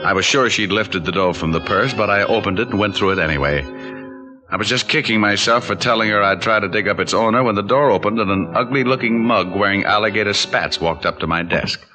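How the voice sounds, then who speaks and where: Guy doing a low-voiced 40s radio private eye, dry wisecracks, breezy, music behind. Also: fairly quick, close to an everyday voice. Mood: wry. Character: wisecracking, light-hearted male private detective. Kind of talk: 1940s radio acting, hard-boiled narration. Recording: vintage 1940s radio recording.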